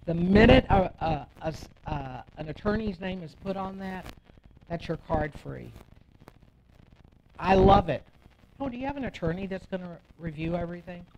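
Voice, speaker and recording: A woman's voice: fairly low pitch, lively intonation, normal speed, a little mellow. A woman teaching a real estate class in a blunt, conversational, emphatic way, acting out bits of client dialogue as she explains. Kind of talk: informal classroom instruction with role-played client dialogue. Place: training room with attendees. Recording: muffled, noisy classroom recording.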